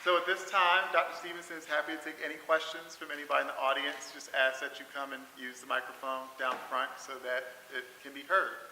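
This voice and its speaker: A man's voice: fairly high pitch, level tone, fast talker, few pauses, pushed and bright. A man moderating an event, making an announcement to an audience. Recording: echoey hall, faint hiss, distant mic.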